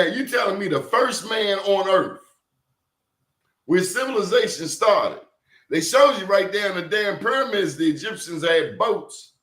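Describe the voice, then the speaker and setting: A man talks in a casual, animated way and drives his points home with emphatic rhetorical questions. His pitch rises and falls a lot as he tells his stories. The room has a clear echo around him. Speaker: professor and guest. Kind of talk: casual conversational talk.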